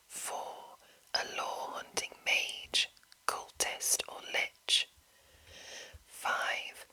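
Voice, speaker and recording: A woman speaking in an unvoiced whisper, hushed and deliberate, as an ASMR host reading aloud from a game book. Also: poor audio. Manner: hushed, slow, deliberate enunciation.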